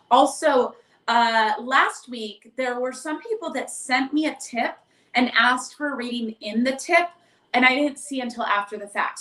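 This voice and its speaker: A woman with a hoarse voice chatting casually and affectionately as she hosts a livestream as a tarot reader, reading out viewer comments. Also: on the high side, sharp tone. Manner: effusive, affectionate greetings and endearments. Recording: echoey, roomy live stream.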